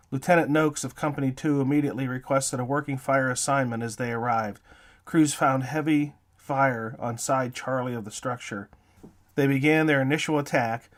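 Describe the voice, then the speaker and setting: A man hosting an interview show, reading scripted narration to introduce a feature. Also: mid-range voice.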